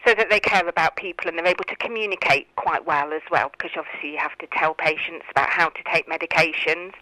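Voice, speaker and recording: A woman's voice: low-pitched, bright, forceful tone, no echo, poor audio. A middle-aged woman with a British accent explaining things as a guest in a radio interview. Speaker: interview guest, college tutor.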